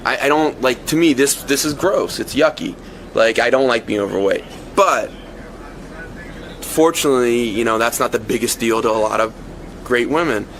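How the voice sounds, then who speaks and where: A man being interviewed casually, speaking in a halting, repetitive, self-deprecating way. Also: mid-range voice, fairly bright tone, a little hiss or hum.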